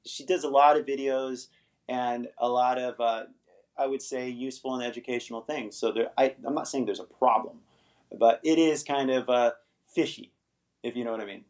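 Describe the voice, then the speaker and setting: A man's voice: mid-range voice, fairly even intonation, moderate pace, a little mellow. A middle-aged man hosting an English-teaching livestream, chatting casually with viewers and rambling, often tagging "right?" onto his points. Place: Los Angeles.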